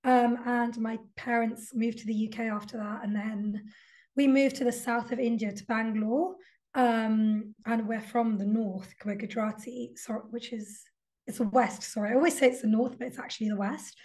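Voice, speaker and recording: A woman's voice: fairly high pitch, steady tone, normal speed, darkish tone. A young adult woman, a guest author talking reflectively in a casual interview, with frequent ums and likes. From London.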